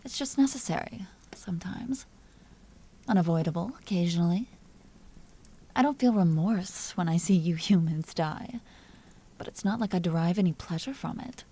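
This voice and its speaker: Lady voicing a vampire duchess for roleplay audio, dark mellow breathy voice, posh wording, amused and predatory, takes her time teasing. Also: fairly low pitch, moderate pace, pauses often. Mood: amused, taunting. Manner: aristocratic, formal, languid, teasing. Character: elegant vampire duchess, haughty, amused, predatory yet courteous. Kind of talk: dramatic roleplay audio performance.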